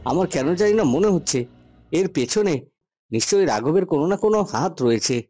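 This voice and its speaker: A man acts out a calm, suspicious schemer in a fairytale cartoon. His voice is warm and a little high for a man, measured and deliberate, over music. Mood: calculating. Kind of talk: Bengali fairytale cartoon voice acting.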